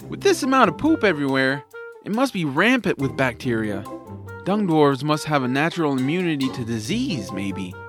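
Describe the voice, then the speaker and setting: A man's voice: fairly high pitch, voice pushed down. A man voicing a squeamish, reluctant but good-hearted young boy in a family audio drama, complaining and incredulous.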